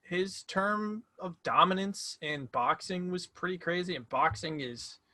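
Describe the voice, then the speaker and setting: A young man co-hosting a casual sports podcast, excitable and quick to argue loudly in banter. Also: high voice, dry recording. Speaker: podcast co-host.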